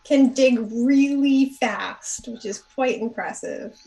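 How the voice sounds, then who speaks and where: A woman's voice: fairly high pitch, slow pace, dark tone, a little hiss or hum. A woman player on a tabletop game show giving a casual recap.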